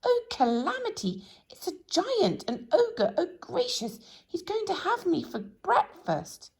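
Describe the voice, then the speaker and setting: A woman's voice: monotone, very slow, creaky, warm tone, exaggerated voice. A woman voicing a timid, jittery little man in a children's storybook who shrieks and stammers when frightened. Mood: panicked. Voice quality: shrieking, high and squeaky. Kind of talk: storybook read-aloud.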